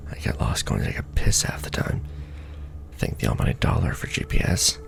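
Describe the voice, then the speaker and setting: A man playing a jaded, sardonic manager at a secret society in an ASMR roleplay, speaking in a dry, world-weary deadpan. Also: moderate pace, natural voice. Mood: brisk, wry. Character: jaded, sardonic male Illuminati manager briefing a new recruit.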